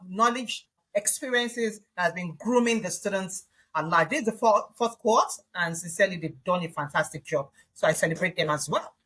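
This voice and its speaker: The presenter of a Nigerian discussion programme, a woman with a husky voice, putting questions to a guest with deliberate emphasis. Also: on the low side, fairly bright tone, low-quality recording. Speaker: host, interviewer. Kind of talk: interview hosting.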